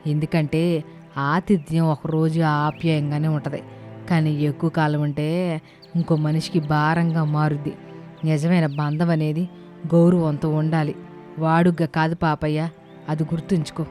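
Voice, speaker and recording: A bossy older village aunt voiced by a woman in a cartoon moral tale, scolding and preaching in colloquial speech. Her voice is deep for a woman, over a music bed. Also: little pitch movement, unhurried, mellow. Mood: moralizing. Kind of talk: animated moral-story dialogue.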